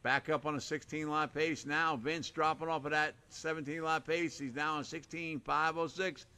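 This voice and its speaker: A man calling a live RC car race with a hoarse, fading voice, rattling off names and lap times in short bursts. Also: on the high side, steady tone, moderate pace, sharp tone. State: hoarse, losing his voice. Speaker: race announcer.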